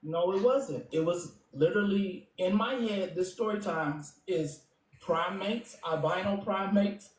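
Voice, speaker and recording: A man with a high-pitched voice answers questions defensively and explains himself at length. He sits away from the mic in an echoey room, with light noise underneath. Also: unhurried. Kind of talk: casual conversation on a live panel. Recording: roomy, distant mic with noise.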